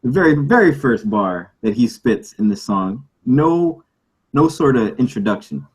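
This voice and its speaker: A man with a husky voice chatting casually and jokingly as a podcast host. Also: mid-range voice, sing-song, a little slow. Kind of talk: casual comedic group chat.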